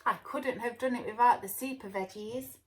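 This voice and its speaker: A woman's voice: a little high, moderate pace, echoey room, close to an everyday voice. A woman voicing a confident, heroic potato superhero in a children's storybook, speaking boldly and staying cool. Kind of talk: children's storybook read-aloud.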